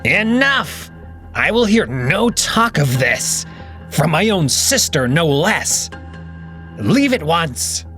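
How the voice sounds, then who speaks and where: A man's voice: fairly high pitch, sing-song, takes it slowly, a bit bright, faint music behind, pitched above the performer's own voice, heavily acted. A man voicing an indignant wolf emperor. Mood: furious. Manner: indignant, commanding outbursts. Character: proud young male wolf emperor, defensive.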